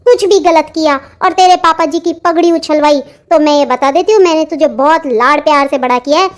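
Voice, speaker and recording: A very high, almost childlike voice from a woman plays a stern middle-aged mother in a cartoon family drama. She nags, orders and threatens, bossy and melodramatic in her delivery. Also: a little fast, lightly acted. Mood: angry, threatening. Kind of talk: melodramatic Hindi cartoon dialogue.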